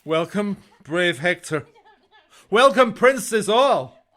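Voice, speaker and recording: An actor playing a proud, boastful Greek warrior in a Shakespearean audio drama, with a grand declamatory manner. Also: male voice, high-pitched, expressive, takes it slowly, a bit bright, heavily acted. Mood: grand welcome.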